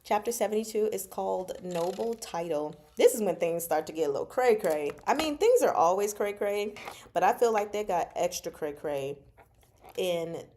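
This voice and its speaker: Expressive woman in casual book chat. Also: medium pitch, normal speed, a little hiss or hum. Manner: expressive, slangy, teasing, emphatic. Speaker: host of a book discussion livestream. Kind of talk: casual chatty book commentary with live chat.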